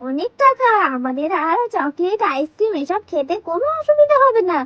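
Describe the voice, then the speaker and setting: Extremely high-pitched, excitable little girl. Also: moderate pace, dry recording, muffled recording. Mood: eager. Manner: whiny, excitable, pleading. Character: eager, ice-cream-loving little girl, excitable and stubborn. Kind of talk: children's doll story acting.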